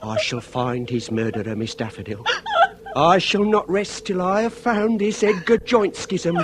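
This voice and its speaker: An actor playing a gallant, formal Victorian police detective with melodramatic resolve in a period radio comedy. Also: male voice, high voice, unhurried, heavy background noise. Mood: resolute.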